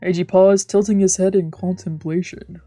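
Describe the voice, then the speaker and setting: A woman with a slightly low voice narrates a visual novel as a streamer would, in a casual way. She is close and dry on the mic, with music faintly behind her. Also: steady tone, normal speed, dark tone, no room sound. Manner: casual, slangy. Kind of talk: narration and casual dramatised reading.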